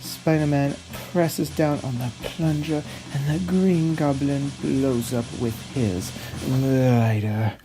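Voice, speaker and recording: A man's voice: medium pitch, fairly even intonation, slow, music in the background, close to an everyday voice, rough recording. A man playing a pompous, fussy narrator who reads out a script theatrically. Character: snobby, fussy male narrator, a pompous connoisseur. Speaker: narrator host.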